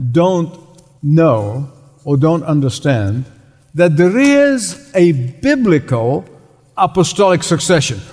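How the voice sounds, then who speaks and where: A man from Egypt preaching a sermon, emphatic, repeating key phrases and slowing down to stress words. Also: high voice, a little mellow. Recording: long reverb of a large hall.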